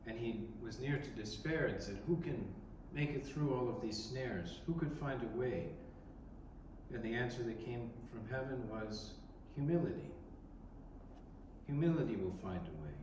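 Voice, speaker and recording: A man in his thirties, an Orthodox priest, giving a reflective sermon with pauses, recorded from a distance in a large church. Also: mid-range voice, animated. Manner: slow, reflective, with pauses and restarts. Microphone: distant camera in the congregation. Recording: echoey church from far off, background noise.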